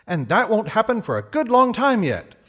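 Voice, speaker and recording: A man playing an earnest, formal announcer in an old-time radio comedy, husky-voiced and flattering. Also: high voice, moderate pace, heavily acted. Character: Harlow Wilcox, polished, earnest 1940s radio announcer.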